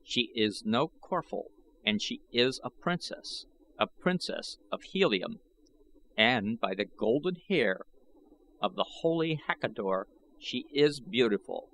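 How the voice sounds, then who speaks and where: Man as an imperious Martian king, lively pitch. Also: takes it slowly, a little hiss or hum, heavily acted, poor audio. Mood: smitten. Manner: commanding, formal.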